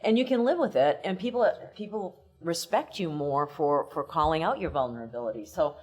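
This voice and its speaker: A woman's voice: sits low, lively intonation, brisk, slightly rough. A woman on a conference panel, giving earnest career advice. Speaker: panelist, businesswoman. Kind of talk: panel talk to a live audience.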